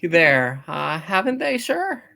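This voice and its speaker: A woman's voice: lowish voice, sing-song, slow, gravelly, pushed and bright, pitched below the performer's own voice, exaggerated voice. A woman voicing an earnest, frightened young errand boy who stammers and pleads in an amateur radio play reading. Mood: nervous, dutiful.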